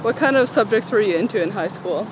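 A woman's voice: mid-range voice, animated, quick pace, a little hiss or hum, poor audio. A young woman hosting an interview show, asking chatty, upbeat questions with casual fillers.

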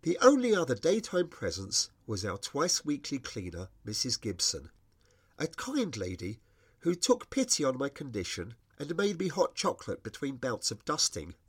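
A middle-aged British man reading his own scripted, humorous memoir in a wry, expressive storytelling manner. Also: mid-range voice, moderate pace, breathy edge. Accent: British English. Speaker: writer reading own personal essay.

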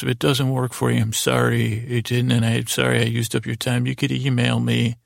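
Gravelly, creaky man rambling softly off to sleep. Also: medium pitch, dry recording, clean studio sound. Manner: meandering, halting, trailing off mid-sentence. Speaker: podcast host. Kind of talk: rambling bedtime monologue for a sleep podcast.

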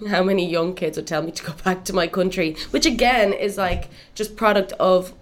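A young woman from Cuba, raised in Dublin, talks through her story in a relaxed, chatty way, with lots of "like" and "um" fillers. Her voice sits a little low for a woman, and she speaks as an interview guest. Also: a little fast. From Cuba, grew up in Dublin. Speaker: musician guest. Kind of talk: casual interview chat.

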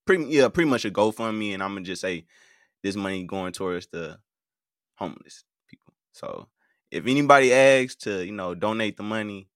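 A man's voice: fairly low pitch, expressive, normal speed, dry recording, studio quality. An American man hosting a live call-in show in a casual, hesitant way, often repeating his words. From United States. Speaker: podcast game show host.

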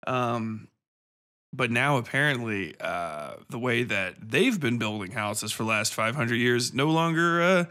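A man's voice: mid-range voice, expressive, measured pace, pushed and bright. An American man from the Midwest hosting a podcast in casual, rambling solo chat, irreverent, sweary and self-deprecating. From Cincinnati, American Midwest. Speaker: podcast host.

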